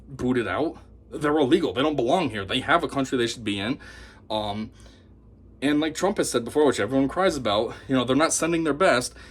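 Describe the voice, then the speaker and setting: A man giving an opinionated monologue to camera as a commentator, talking in a scornful, animated way. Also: medium pitch, husky. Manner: animated, scornful, mocks opponents in put-on voices. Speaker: YouTube commentator.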